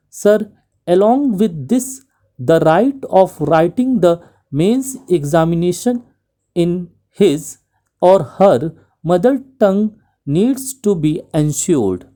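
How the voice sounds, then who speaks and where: A woman with an Indian English accent reading a speech aloud as shorthand dictation, slowly and deliberately, phrase by phrase with pauses. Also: lowish voice, expressive, dark tone. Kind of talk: slow measured dictation of a speech.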